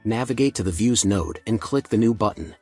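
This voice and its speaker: A man reading a scripted software tutorial in a measured, procedural instructional manner. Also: lowish voice, animated, breathy edge, faint music behind.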